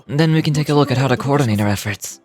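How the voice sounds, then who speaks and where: A man's voice: medium pitch, racing, lightly acted. An actor playing a courteous, dutiful male officer in a fantasy game, earnest and businesslike. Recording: music behind.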